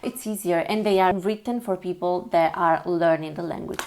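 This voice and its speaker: A woman's voice: on the low side, normal speed, a little mellow, a little hiss or hum. A young woman whose first language is Italian, talking casually in English with an Italian accent, chatty and full of fillers and restarts. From Italy. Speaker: vlogger. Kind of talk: casual talk to camera.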